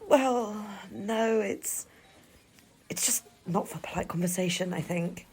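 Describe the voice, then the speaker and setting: A woman playing a guarded, husky-voiced local. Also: mid-range voice, slow, darkish tone. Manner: reluctant, guarded. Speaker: interviewed local.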